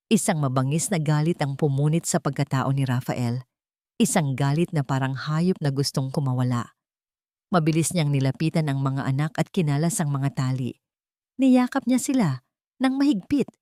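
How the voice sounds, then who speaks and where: Casting: female Filipino-accented narrator for romantic drama, deep register, very dry recording. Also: darkish tone, dry recording. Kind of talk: scripted dramatic story narration.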